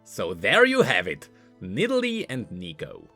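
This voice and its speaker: A man's voice: fairly low pitch, wildly swooping pitch, a little slow, sharp tone, no echo, faint music behind. A man giving scripted commentary on video game lore and voice lines in an emphatic, explanatory way.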